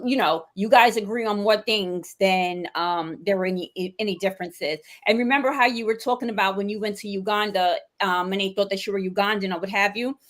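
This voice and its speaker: A woman of middle pitch wraps up a group discussion with reflective, measured remarks. She pauses often to gather her thoughts. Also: a bit bright. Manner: measured, thoughtful, many ums. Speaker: discussion participant.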